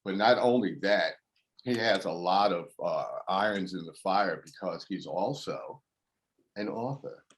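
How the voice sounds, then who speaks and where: A man's voice: deep voice, a little slow. A man hosting an interview show, chatty and friendly, laughing often. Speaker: host.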